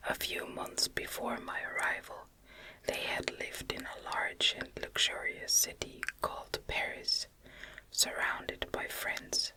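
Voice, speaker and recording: A woman narrates classic gothic fiction entirely in a breathy, unvoiced whisper. Her reading is very slow and gentle, with measured phrasing in an ASMR style. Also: poor audio. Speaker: audiobook narrator.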